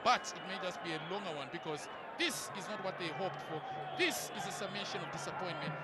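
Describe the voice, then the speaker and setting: A man doing football play-by-play, shouting excitedly over goals. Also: high voice, fairly quick, very noisy. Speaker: play-by-play commentator. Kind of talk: football commentary. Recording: broadcast commentary over loud stadium crowd.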